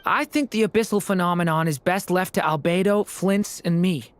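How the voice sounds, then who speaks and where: A woman's voice: on the low side, moderate pace, slight background noise, close to an everyday voice. A woman playing an earnest, polite youth in a video game. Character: earnest, soft-spoken youth eager to learn.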